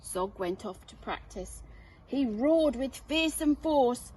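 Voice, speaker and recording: A woman reads a children's story as a teacher would, expressively and without hurry. Her voice is high, caught a little way from the microphone with faint noise behind it. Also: lively intonation, takes it slowly, a little mellow. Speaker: head teacher reading aloud. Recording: distant mic, faint noise.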